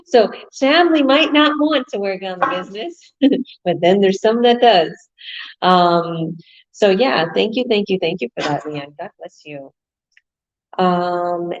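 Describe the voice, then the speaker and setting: A woman's voice: fairly low pitch, highs cut off. A woman hosting an online business talk show, chatting in an upbeat way with fillers. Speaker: host and business coach.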